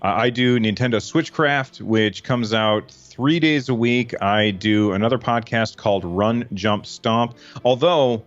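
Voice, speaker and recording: A man's voice: medium pitch, a little slow, few pauses, muffled recording, faint music behind. A man guesting on a podcast who speaks in a hesitant way, full of fillers and restarts. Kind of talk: casual podcast chat.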